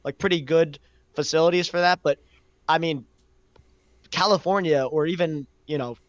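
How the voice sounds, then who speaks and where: Clear-voiced young man, reflective, close dry sound. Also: on the high side, moderate pace, no room sound, lo-fi sound. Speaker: podcast host. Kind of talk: casual podcast conversation.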